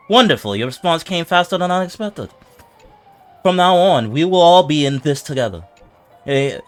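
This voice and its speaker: A man's voice: on the high side, fairly bright tone. A man streaming a game, reacting casually and exclaiming, with repeated phrases and some swearing. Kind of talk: casual live gameplay reaction.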